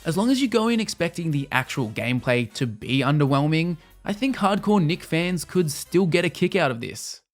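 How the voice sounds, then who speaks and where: A man reading a scripted video game review in a measured, explanatory and opinionated way. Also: a little high, animated. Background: music under the voice.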